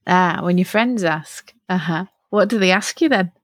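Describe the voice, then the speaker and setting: A woman's voice: fairly low pitch, moderate pace, dry recording, clean studio sound. Patient, encouraging woman host. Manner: patient, encouraging, asks simple follow-up questions. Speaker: podcast host interviewing a child. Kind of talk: friendly interview questions.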